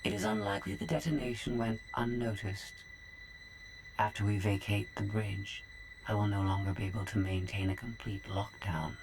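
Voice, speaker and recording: A coolly logical female machine with music behind her. This game droid sounds formal, measured and entirely detached. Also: extremely low pitch, fairly even intonation. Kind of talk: video game cutscene acting.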